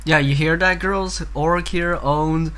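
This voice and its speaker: A man's voice: fairly high pitch, steady tone, measured pace, fairly bright tone. A man co-hosting a podcast, chatting casually and teasing his friends with jokes. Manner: joking, teasing. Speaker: podcast co-host.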